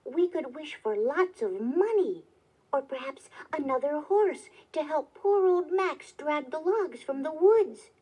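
A grumbling storybook woodcutter, played by a woman. The husband speaks slowly and emphatically in a high voice. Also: animated, lo-fi sound. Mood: practical. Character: plain-living, grumbling male woodcutter and husband. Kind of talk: children's storybook character voice.

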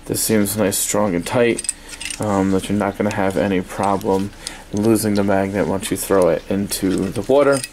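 A man giving a casual, halting, offhand unboxing review at a desk in a small indoor workspace. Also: on the low side. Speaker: product reviewer. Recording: steady noisy room ambience.

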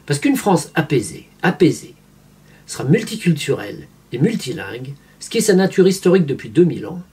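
A man's voice: on the high side, big pitch swings, fairly quick, warm tone. A man in his fifties giving didactic, wry opinion commentary to a camera in a room at home. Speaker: vlogger commentator. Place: small furnished room at home.

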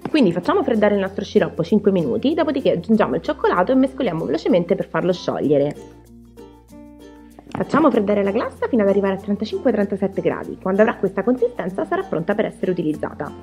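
Woman, recipe voice-over, very varied intonation, mellow timbre, home cooking host. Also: mid-range voice, big pitch swings, fast talker, soft background music.

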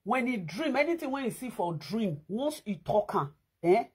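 Nigerian Pidgin-accented woman vlogger in her thirties, animated, husky and expressive. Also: on the high side, moderate pace. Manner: animated and emphatic. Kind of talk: casual chat to viewers. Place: indoors against a plain wall.

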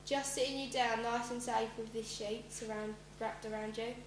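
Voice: mid-range voice, fairly even intonation, normal speed, close to an everyday voice. A school pupil playing a sly, murderous male barber in an amateur radio play. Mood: feigned innocence. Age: child, about 12 to 13. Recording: roomy, loud hum and noise.

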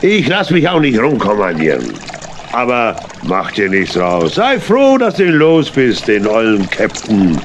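An actor playing a sly, falsely chummy tramp in a children's audio play, with an oily, folksy drawl. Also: male voice, lowish voice, wildly swooping pitch, a little slow, background noise. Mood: grumbling, boastful. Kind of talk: children's audio drama acting.

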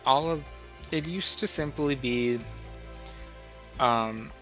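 A man's voice: medium pitch, slow pace, fairly bright tone, faint music behind, rough recording. A man hosting a casual screen-recorded tutorial, explaining things in an informal, rambling way. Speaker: host of a role-play tutorial.

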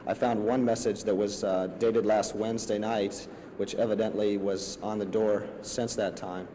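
A young adult man giving halting interview answers. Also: lowish voice, little pitch movement, dark tone. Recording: faint noise on archival newsreel audio.

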